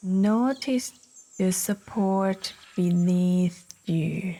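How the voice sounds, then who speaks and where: A woman narrating a guided meditation in a soft, soothing, breathy manner, leaving long pauses between short phrases. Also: fairly low pitch. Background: birdsong.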